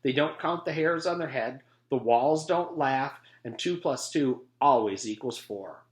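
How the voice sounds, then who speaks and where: Cheerful mustached yard teacher, read by a man, friendly storyteller, lively pitch, echoey room. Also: unhurried, close to an everyday voice. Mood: storytelling.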